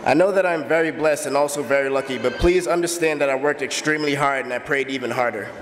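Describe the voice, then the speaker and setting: A man in his early twenties from the Bahamas, earnestly telling his own story as a steady personal testimony. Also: mid-range voice, fast talker, long reverb tail, slight background noise, poor audio. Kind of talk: personal testimony, read aloud.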